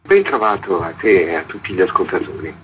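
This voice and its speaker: A man's voice: deep voice, expressive, a little fast, muffled recording, a little hiss or hum. A man giving discursive, spontaneous explanations as an arts organiser being interviewed.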